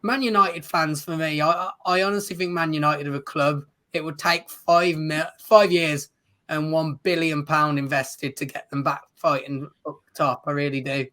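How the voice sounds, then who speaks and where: A man who has lost his voice, chatting casually as a football show co-host in an agreeable, measured way. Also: a little high, fairly even intonation, fairly bright tone. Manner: measured, agreeable, uses fillers like "um" and "you know". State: losing his voice.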